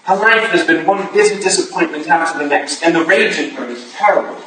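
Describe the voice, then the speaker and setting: A man's voice: on the high side, brisk, a crowd in the background, natural voice. A man playing an earnest, pleading Victorian husband in a staged reading of a period play. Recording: echoey hall, distant mic, hissy noise.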